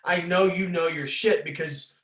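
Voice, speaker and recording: A man's voice: on the high side, fairly even intonation, moderate pace, fairly bright tone. A man hosting a casual conversational interview, talking in a rambling way with many false starts. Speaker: podcast host, fitness coach interviewer. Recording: roomy, echoing sound.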